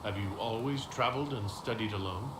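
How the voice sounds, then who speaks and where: A man's voice: fairly low pitch, a little fast, background noise, close to an everyday voice. An actor playing an inquisitive male leader who asks questions with a dry sense of humor. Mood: curious. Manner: curious questioning, dry wit. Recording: roomy game audio with music.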